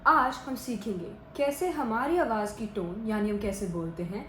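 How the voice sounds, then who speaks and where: Woman, fairly high voice, scripted lesson delivery, explanatory teacher, echoey room recording. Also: moderate pace, a bit bright. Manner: explains English terms in Hindi as she goes. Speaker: public speaking teacher, host. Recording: echoey room with faint noise.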